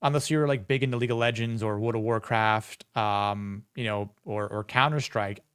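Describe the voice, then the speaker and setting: A man with a low, smooth voice talks casually on a livestream, wandering through his thoughts with lots of fillers and restarts. The sound is dry and close, with no room around it. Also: fairly even intonation, normal speed, fairly bright tone, no room sound. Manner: rambling, many fillers and restarts. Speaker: streamer and show host.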